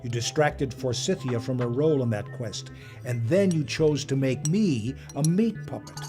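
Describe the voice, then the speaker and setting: A man acting in an audio drama as an old magician and collector, formal, smug and lecturing, with a measured delivery. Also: mid-range voice, music in the background, lightly acted. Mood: stern, ominous. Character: old male magician and artifact collector, commanding, smugly moralizing.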